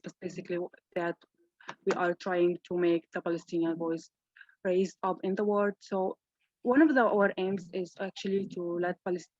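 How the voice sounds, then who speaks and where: Casting: young woman from Gaza, lowish voice, hesitant panelist, dull dry call audio. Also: a little mellow, no echo. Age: young adult. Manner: halting, frequent restarts. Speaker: student panelist. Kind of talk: online event talk. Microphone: video call.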